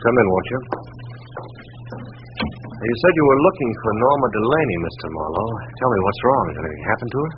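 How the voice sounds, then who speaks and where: Actor doing wistful careful ex-boyfriend, measured and polite, old radio play, muffled with faint hiss. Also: male voice, mid-range voice, expressive, natural voice. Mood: concerned. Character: guarded, smooth-mannered male former lover, wistful. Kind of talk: 1940s-50s radio detective drama acting.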